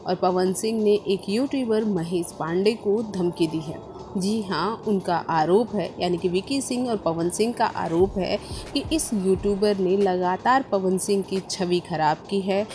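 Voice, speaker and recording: A woman's voice: mid-range voice, a little mellow, a little hiss or hum. A woman presenting entertainment gossip news as a scripted narration, explaining and restating points with emphasis. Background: background music.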